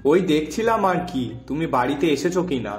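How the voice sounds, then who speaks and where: A man playing a mild, placating village husband in a cartoon, gentle and coaxing. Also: fairly high pitch, very animated, a little fast. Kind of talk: Bengali fairy tale cartoon dubbing. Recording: echoey room, music underneath.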